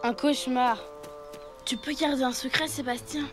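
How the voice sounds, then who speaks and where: Woman as cartoon schoolboy, high pitch, plaintive, mischievous, self-justifying, music underneath. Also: steady tone, normal speed, lightly acted. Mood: glum. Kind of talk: French cartoon dub acting. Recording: music behind the voice.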